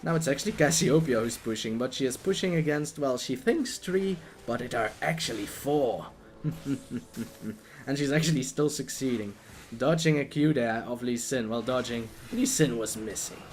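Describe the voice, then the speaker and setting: A young man with a Dutch accent giving excitable, jokey live commentary on a video game, talking to the players on screen. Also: medium pitch, moderate pace, a little hiss or hum.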